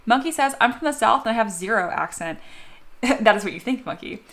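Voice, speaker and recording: A woman's voice: fairly high pitch, lively intonation, brisk, a bit bright. A young adult woman chatting casually on a live stream while she sculpts at home, reading viewer messages aloud and laughing often. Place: home studio.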